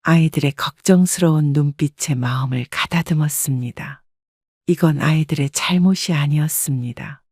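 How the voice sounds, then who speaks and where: A woman narrating a first-person family story in a calm, measured manner. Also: low voice, no room sound, clean studio sound.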